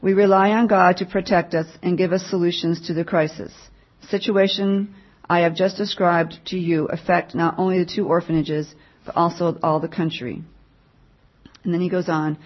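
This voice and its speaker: A woman giving a mission update at church, reading a written report aloud to the congregation in a plain, measured way. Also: fairly low pitch, steady tone, muffled recording.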